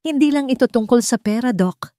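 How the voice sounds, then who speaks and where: A woman's voice: on the high side, dark tone, no room sound, studio quality, voice pushed up, lightly acted. Woman voicing a cold, menacing rich schemer. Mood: vengeful, gloating. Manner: deliberate, threatening, sneering.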